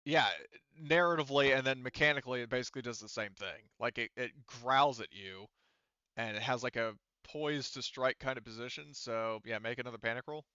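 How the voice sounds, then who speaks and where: A man with a bright, smooth voice works as game master for a tabletop roleplaying session. He talks informally, swears casually and explains as he goes. The recording is dry and slightly muffled. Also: medium pitch, brisk, no room sound.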